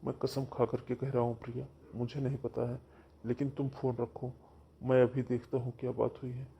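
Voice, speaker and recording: A man's voice: medium pitch, completely flat delivery, a little fast, vocal fry, breathy edge. A man voicing a sincere young groom. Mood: reassuring. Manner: soft, reassuring.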